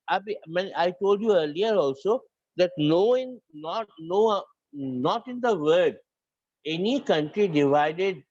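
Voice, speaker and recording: A man's voice: high-pitched, darkish tone, no room sound, lo-fi sound. A man with a north Indian accent lecturing a class, switching between English and Hindi and often restarting his phrases. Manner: repeats and restarts phrases, dictates points to students. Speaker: teacher.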